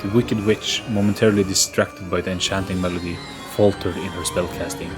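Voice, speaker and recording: A man's voice: sits low, fairly even intonation, a little fast. A man narrating a story as an audio play in a dramatic, scripted storybook style. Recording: faint music behind narration. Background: soft background music.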